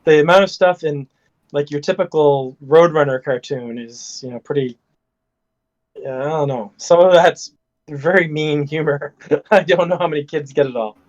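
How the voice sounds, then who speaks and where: A man's voice: on the high side, moderate pace. A man, one of the players, chatting hesitantly with many restarts over an online voice call. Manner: hesitant, trailing off. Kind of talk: casual out-of-game chat. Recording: dull lo-fi call audio.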